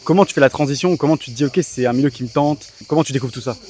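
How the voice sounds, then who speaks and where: Young man, interviewer, extremely quick speech, informal, slangy, conversational. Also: medium pitch, darkish tone.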